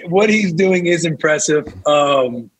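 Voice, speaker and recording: Raspy-voiced man, casual podcast guest. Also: on the high side, lively intonation, slow pace, no room sound, poor audio. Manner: candid, laid-back storytelling with frequent fillers. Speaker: podcast guest, former football player. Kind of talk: casual chat.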